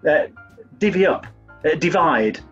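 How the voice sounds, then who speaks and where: A man's voice: on the high side, expressive, unhurried, fairly bright tone, muffled recording, faint music behind. A man playing a dim-witted, earnest upper-class toff in a radio comedy, fussily polite and flustered. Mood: eager. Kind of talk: 1940s radio comedy acting.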